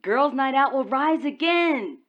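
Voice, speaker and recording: An actress playing a breezy, excitable woman friend chatting eagerly through a phone filter in an audio drama. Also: high-pitched, unhurried, lo-fi sound.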